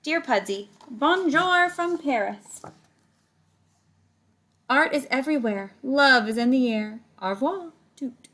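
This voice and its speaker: A woman's voice: sits high, expressive, takes it slowly, a bit bright, exaggerated voice. A woman voicing an affectionate, adventurous male pig from a storybook, reading his short exclamatory letters expressively. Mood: delighted.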